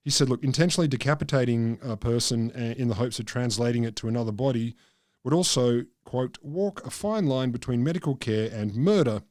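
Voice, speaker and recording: A middle-aged man co-hosting a casual science podcast, explaining from notes in a halting, dryly joking, sweary way into a close microphone. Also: medium pitch, darkish tone, no echo. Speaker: podcast co-host. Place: small book-lined room, indoors. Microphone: close podcast microphone, headphones.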